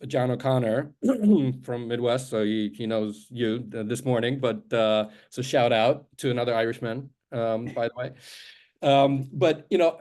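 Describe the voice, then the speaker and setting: Rambling podcast co-host, Russian native. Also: male voice, medium pitch, mellow. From Ukraine. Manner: rambling, hedging questions. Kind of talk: podcast interview.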